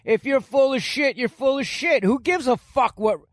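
A very high-pitched man in his sixties rants loudly, cursing constantly as a podcast guest. His voice is dry and close, with no room around it. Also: moderate pace, dry recording. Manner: loud, profane, ranting. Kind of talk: heated podcast conversation.

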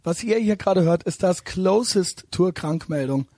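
A hoarse man hosting a podcast, chatting in a fast, cocky way and dropping English phrases. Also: high-pitched, expressive, darkish tone, no echo, studio quality. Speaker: podcast host. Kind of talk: casual podcast banter.

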